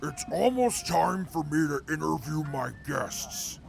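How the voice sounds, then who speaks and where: An actor playing a pompous male robot reporter who calls himself a grand master of ceremonies, with a gravelly, grandiose delivery. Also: a little high, lively intonation, unhurried, soft background music, exaggerated voice. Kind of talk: audio drama acting.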